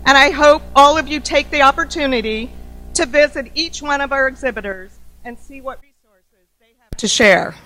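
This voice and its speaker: A woman giving a formal welcome speech as head of the organizing group, with a loud, upbeat voice, reading thanks and introductions at a podium in a conference room. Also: high-pitched, moderate pace, low-quality recording. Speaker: CEO opening a summit.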